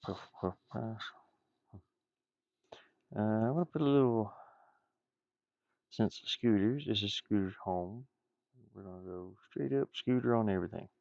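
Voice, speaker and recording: A man's voice: fairly low pitch, measured pace, creaky, long pauses, a little mellow, dry recording, muffled recording. A man giving casual, rambling commentary while he works on game art, muttering playfully to himself and repeating words. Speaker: indie game developer streaming.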